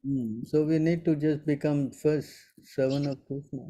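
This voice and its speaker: A man's voice: a little high, fairly even intonation, normal speed, warm tone, rough recording. A man in his sixties answering devotional questions in an informal online session, often checking whether listeners understand. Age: 60s. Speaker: spiritual teacher answering questions.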